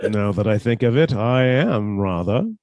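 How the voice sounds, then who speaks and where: A man's voice: fairly low pitch, lively intonation, a little slow, a little mellow, no echo. A man playing a mannerly, henpecked professor who is secretly a scheming killer, with formal old-fashioned phrasing, in a radio suspense play. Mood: jovial, evasive. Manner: formal, old-fashioned turns of phrase, hesitant when flustered.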